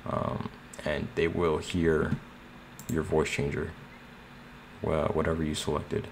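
A man's voice: rumbling low, completely flat delivery, takes it slowly. A man narrating a casual screen tutorial in a plain, slightly hesitant way. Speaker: tutorial host. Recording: clear background noise.

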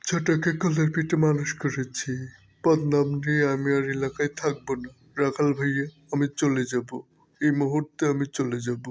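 A man's voice: a little high, flat delivery, normal speed, a little mellow, natural voice. A man voicing a proud, exasperated elder fox brother in a cartoon, scolding and lamenting. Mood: distressed, indignant. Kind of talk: cartoon voice acting.

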